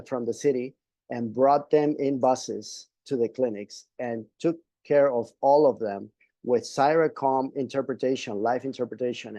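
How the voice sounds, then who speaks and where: Brief: man, native Spanish speaker, webinar panelist, hesitant delivery, dark mellow tone, dry sound. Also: medium pitch, no echo. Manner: halting, many restarts and fillers. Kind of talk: casual panel talk.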